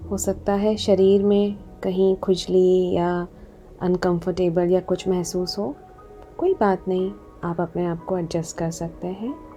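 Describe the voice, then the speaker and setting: A woman leading a guided meditation in a soothing, unhurried manner, leaving long pauses between short instructions. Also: fairly low pitch, steady tone, dark tone. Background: background music.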